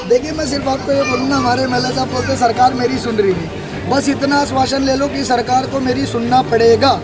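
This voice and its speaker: A man giving an assertive, emphatic political pitch as a candidate in a campaign interview, often repeating his points. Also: fairly even intonation, a little fast. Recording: loud music, crowd and street traffic. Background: music, crowd, vehicles.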